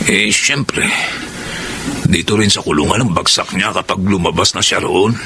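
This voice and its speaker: A gravelly remorseful robber. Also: male voice, low-pitched, moderate pace, background noise. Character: remorseful adult male small-time robber, jailed. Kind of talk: 1990s-style Filipino radio drama acting.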